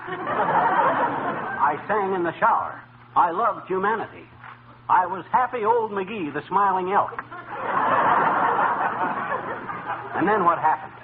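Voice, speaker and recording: A grumbling middle-aged husband from a 1940s radio comedy. He is loud, indignant and slangy, with a highish voice. The old recording is thin and full of noise. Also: wildly swooping pitch, unhurried, long pauses, phone-quality sound, a loud crowd, full character voice. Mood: sarcastic outrage.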